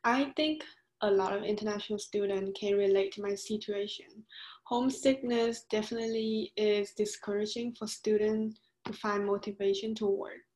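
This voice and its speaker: A woman's voice: medium pitch, fairly even intonation, moderate pace, a little mellow. Young Vietnamese woman in an echoey room. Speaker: graduate student guest.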